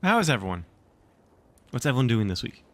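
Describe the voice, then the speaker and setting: A man streaming casually, chatting and reading a storybook aloud in an expressive, informal way. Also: medium pitch, a little fast. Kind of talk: casual livestream chat and expressive read-aloud narration.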